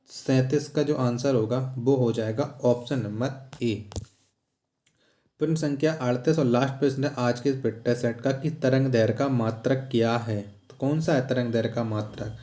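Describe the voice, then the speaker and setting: A man's voice: mid-range voice, dark tone. A man teaching a quiz lesson as an exam-prep teacher, in a didactic way that repeats questions and answers. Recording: echoey, roomy sound.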